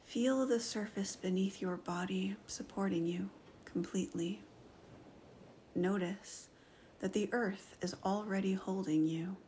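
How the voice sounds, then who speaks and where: A woman guiding a meditation in a soft voice that often slips into a whisper. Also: mid-range voice, a little slow, a little hiss or hum. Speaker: meditation guide. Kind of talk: guided meditation narration.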